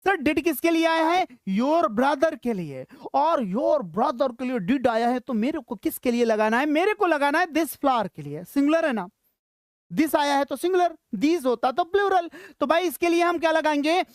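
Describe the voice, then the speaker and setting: A high-pitched teacher leads a live lesson for school students. The delivery is instructional, posing questions, answering them and repeating phrases for emphasis. The sound is dry, with no room echo. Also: expressive, normal speed.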